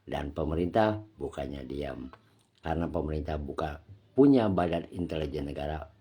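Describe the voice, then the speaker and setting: A man from Indonesia with a husky voice, giving an impromptu political monologue in an emphatic, scolding, lecturing manner. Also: very deep voice, expressive, normal speed, dark tone. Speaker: nationalist commentator.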